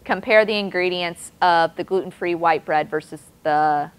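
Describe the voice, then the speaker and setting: A woman's voice: fairly low pitch, normal speed, clear, resonant tone, poor audio. A woman presenting on nutrition, answering audience questions in a chatty way with lots of "like" and "you know". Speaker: nutrition presenter at a clinic talk. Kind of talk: audience Q&A session.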